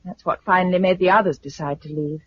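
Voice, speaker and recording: A woman's voice: lowish voice, fairly even intonation, quick pace, a little hiss or hum, close to an everyday voice. A woman with a British accent playing a resolute, wistful farm wife in a 1950s radio drama. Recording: muffled 1950s radio recording.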